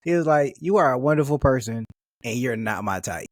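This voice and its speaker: Dude reacting to a clip, swears a lot, lively up-and-down voice, bit husky. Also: male voice, medium pitch, a little fast, no echo, studio quality. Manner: animated, profane, laughing asides. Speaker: reaction commentator. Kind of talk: casual reaction commentary.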